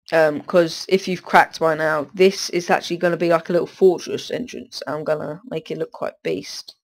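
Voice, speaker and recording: A teenage boy with a stuffy cold, rambling through casual gameplay commentary and often breaking off mid-sentence. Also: a little high. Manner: rambling, self-interrupting, distracted. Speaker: gaming commentator.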